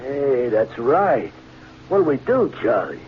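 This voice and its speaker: An actor playing a slow-witted, eager small-time American crook in a radio drama, talking in slangy, repetitive bursts. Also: male voice, medium pitch, sing-song. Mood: worried. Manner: plain slangy speech, hesitant repeats. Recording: muffled, noisy vintage radio with hum.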